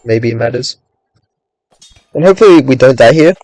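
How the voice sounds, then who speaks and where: A young man talks through his game in a loose, meandering way, filling gaps with "like" and half-finished thoughts. His voice is a touch raspy after long talking and sits on the mellow, darker side. The sound is dry and close, with no room around it. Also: mid-range voice, lively intonation, moderate pace, no room sound. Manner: rambling, thinks aloud, many "like"s and hedges. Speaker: gaming streamer. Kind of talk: casual gameplay commentary.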